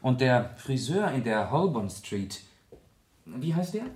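A mid-pitched gentleman sleuth, calm and shrewd. He questions with deliberate, probing care, weighing his words in the style of 1970s German radio drama. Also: slightly rough, heavily acted. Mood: calm, inquisitive.

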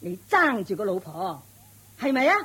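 A woman's voice: mid-range voice, big pitch swings, normal speed, sharp tone, husky, heavily acted. A woman playing a haughty, domineering rich matriarch and mother-in-law in a radio drama, scolding and mocking. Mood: scornful. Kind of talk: Cantonese radio drama acting.

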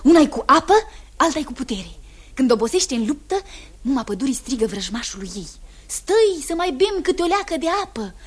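An actress playing a gentle young maiden who speaks tenderly and often in a hushed, urgent voice, in a 1960s radio drama. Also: female voice, high voice, sing-song, husky, full character voice.

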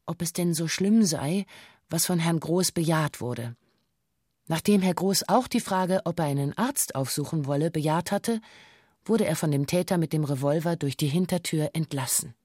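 An actress playing a bank employee who gives a sober, formal witness statement in a radio drama, factual and detached. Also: female voice, low-pitched, moderate pace, dark tone, no room sound, studio quality. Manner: factual, detached, precise official phrasing. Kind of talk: scripted documentary radio drama, formal testimony.

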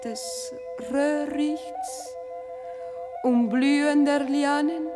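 A woman narrating a literary radio play, phrasing each line deliberately with pauses. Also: high-pitched, big pitch swings. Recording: long reverb, music behind.

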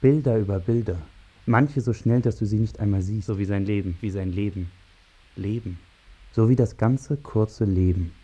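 Sombre male radio-play narrator, very dark mellow tone, slightly muffled. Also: lowish voice, normal speed, breathy edge. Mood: sombre, poetic. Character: narrator of a young man's short life, sombre and poetic.

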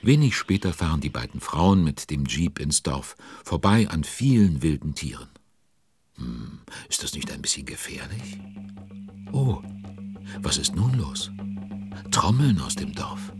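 A man's voice: rumbling low, big pitch swings, measured pace, faint music behind, full character voice. A man playing a droll, timid, sleepy storyteller in a children's audio drama. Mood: wry, uneasy. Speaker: narrator.

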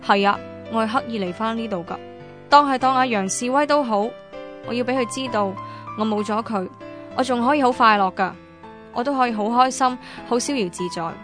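A woman's voice: fairly high pitch, brisk, a bit bright, faint music behind, natural voice. An actress playing a heartbroken, defiant young woman in an emotional, emphatic radio drama style. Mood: defiant, regretful. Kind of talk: Cantonese radio drama narration and acting.

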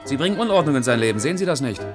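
A man's voice: mid-range voice, expressive, racing, close to an everyday voice. Radio drama male lead: stern and eloquent, played by an actor, mid-range voice, reproving delivery over prominent music. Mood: reproachful, earnest. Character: stern, reproachful, articulate man confronting a woman. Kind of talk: 1980s radio play dialogue. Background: clearly audible music.

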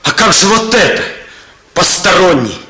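Intense young policeman, sharp-voiced. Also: high voice, big pitch swings, full character voice. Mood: indignant. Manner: intense, halting, emotional. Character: earnest, indignant young male police operative. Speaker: actor. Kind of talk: Soviet radio drama acting.

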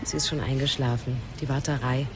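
A woman's voice: extremely low pitch, steady tone, brisk. A woman acting an apologetic mother striking up conversation in a naturalistic radio drama. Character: apologetic mother waiting with her daughter.

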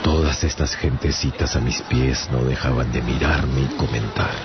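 A shipwrecked adventurer recounting his travels for a radio drama. His very low voice is theatrical and wry, a bemused man telling a tall tale. The sound is a little dull, with music underneath. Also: fairly even intonation, normal speed. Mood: wry, reflective. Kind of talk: dramatized first-person radio narration.

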